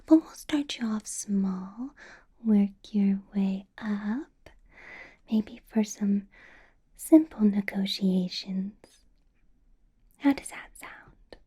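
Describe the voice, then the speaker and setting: A woman playing a possessive, menacing female vampire boss in an ASMR roleplay, speaking in a slow breathy whisper with soft teasing laughs. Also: medium pitch.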